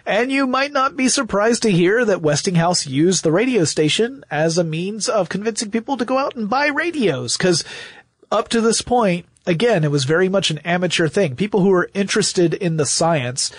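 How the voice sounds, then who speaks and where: A man's voice: high voice, lively intonation, moderate pace, no echo. An American man co-hosting a podcast, explaining things in a conversational style. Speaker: podcast co-host.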